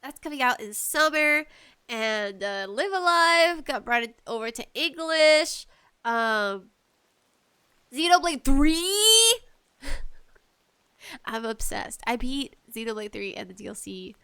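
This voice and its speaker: A woman hosting a casual, rambling solo podcast chat, enthusiastic and animated in her emphasis. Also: high-pitched, takes it slowly, long pauses, clear, resonant tone. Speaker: podcast host talking about video games.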